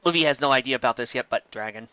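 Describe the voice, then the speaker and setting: A woman reading a fan-made story aloud as an expressive amateur narrator. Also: very deep voice, rapid-fire, clean tone, no room sound. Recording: dull, close lo-fi recording.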